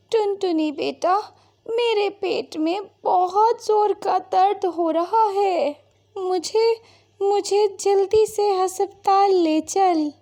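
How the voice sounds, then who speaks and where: A woman's voice: very high-pitched, mellow, heavily acted. A woman voicing an affectionate, protective mother bird in a Hindi cartoon story, with a fussing, motherly manner. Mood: pained.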